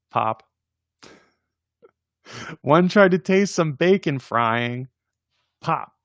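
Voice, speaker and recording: A man hosting a casual read-aloud of children's poems, playful and expressive in verse, with informal chatty asides. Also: mid-range voice, no room sound, clean studio sound. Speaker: host reading poems aloud on a livestream.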